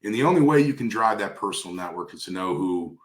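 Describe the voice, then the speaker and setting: A man's voice: deep voice, lively intonation, fast. A man speaking conversationally in an industry interview, coaching emphatically and repeating key phrases for stress. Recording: roomy, echoey sound.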